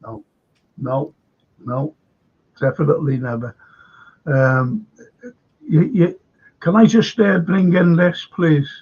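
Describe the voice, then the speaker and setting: A man's voice: fairly high pitch, fairly even intonation, takes it slowly, pauses often, husky. Rambling older man, dull lo-fi sound. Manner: rambling, chatty, full of "you know". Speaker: host. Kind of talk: casual fan chat show.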